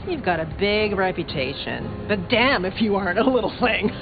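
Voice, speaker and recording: A woman's voice: mid-range voice, slow pace. An actress playing a brash, sarcastic young female vampire in a video game, a scrappy small-town newcomer who talks in slangy, sardonic, sweary bursts. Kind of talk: video game character dialogue. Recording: dull game audio under clear music.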